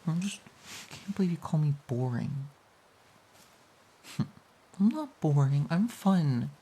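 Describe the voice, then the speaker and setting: Woman voicing a playful pouty girlfriend, romantic audio roleplay, mellow dark tone, breathy, slow, cooing, intimate. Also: deep voice, expressive, slight background noise. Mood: sulky, pouting. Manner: soft, giggly, hesitant, cooing. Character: playful, pouty, affectionate girlfriend snuggling in bed.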